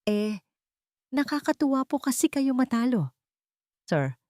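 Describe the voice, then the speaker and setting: A woman voicing a humble young female janitor in a story, polite, deferential and gently teasing. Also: high voice, sing-song, a little slow, no echo, clean studio sound, voice pushed up. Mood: polite, playful.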